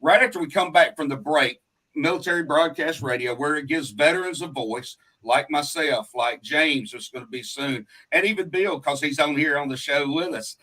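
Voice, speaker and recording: A man's voice: fairly high pitch, moderate pace, sharp tone, poor audio. A man hosting a faith-based talk show in a folksy, talkative, rambling and earnest way. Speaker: radio show host.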